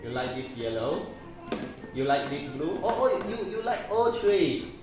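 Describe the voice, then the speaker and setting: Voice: mid-range voice, very animated, unhurried. A parent hosting a casual toy unboxing, repeating words and phrases and putting simple teaching questions to a child. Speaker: parent and vlog host presenting a toy review. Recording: echoey room with loud background music. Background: music.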